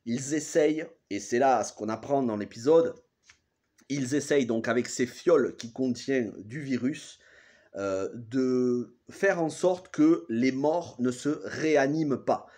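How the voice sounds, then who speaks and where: A man's voice: medium pitch, slow, a little mellow. Man with a cold recapping an episode. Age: 30s. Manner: hesitant, restarts and corrects himself often. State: has a cold. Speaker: TV series reviewer. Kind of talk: casual spoken episode recap.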